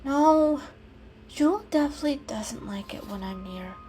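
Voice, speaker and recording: A woman voicing a gentle, anxious female cat with an earnest, hesitant manner in a dramatic storybook reading. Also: high voice, expressive, normal speed, background noise, pitched above the performer's own voice. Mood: troubled. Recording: music behind the reading.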